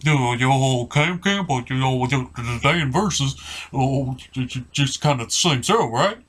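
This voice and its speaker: A man voicing a dopey puppet sidekick who rambles in halting, stammered slang. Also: medium pitch, fairly bright tone. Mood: dopey, hesitant.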